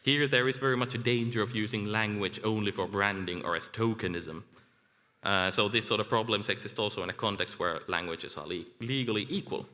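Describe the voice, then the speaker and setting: Guy giving a conference talk, kinda low voice, lots of "like", echoey hall. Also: bright, forceful tone. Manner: measured, lecturing with filler "like". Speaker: academic speaker. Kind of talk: conference presentation.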